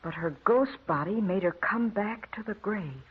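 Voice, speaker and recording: Husky gossiping woman. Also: medium pitch, expressive, normal speed. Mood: confiding. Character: matter-of-fact gossiping woman reading the newspaper. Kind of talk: old-time radio drama acting. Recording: tinny, narrow old radio recording.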